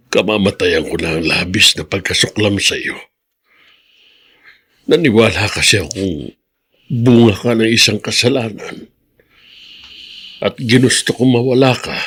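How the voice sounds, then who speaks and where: An actor playing a remorseful old grandfather in a Filipino radio drama, raspy, earnest and solemn, with an emotional delivery. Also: lowish voice, a bit bright.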